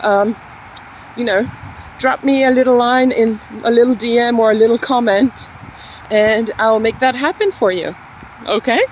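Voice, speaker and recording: A woman teaching English, with a mixed accent carrying French, British and American traces, talking in a slow, encouraging way with many fillers. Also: a little high, a little hiss or hum, low-quality recording. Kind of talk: casual spoken lesson to camera.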